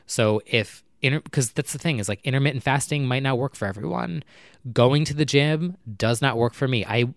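A young man chatting casually at night, rambling with lots of fillers and talking low so his neighbors won't hear. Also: normal speed, gravelly, no room sound, clean studio sound. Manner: talking low and quietly to keep neighbors from hearing. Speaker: vlogger. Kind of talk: casual personal chat to camera. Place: apartment at night.

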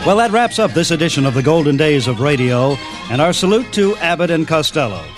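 Casting: American man, radio host, slightly high voice, scripted show narration over clear background music. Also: animated, fairly quick.

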